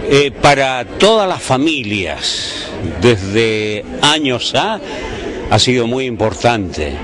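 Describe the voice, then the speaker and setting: A man in his seventies with a Chilean accent, giving a hesitant, measured interview as a veteran actor. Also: medium pitch. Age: 70s. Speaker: veteran radio drama actor. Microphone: handheld interview microphone. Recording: crowd murmur behind.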